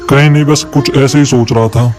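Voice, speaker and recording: A man voicing a worried, serious male elephant who guards the forest in an animated moral story. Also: mid-range voice, brisk, close to an everyday voice. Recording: music behind the voice.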